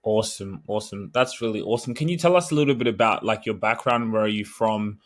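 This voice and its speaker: Male podcast host, lowish voice; upbeat, encouraging, short questions. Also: fast talker. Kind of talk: podcast interview.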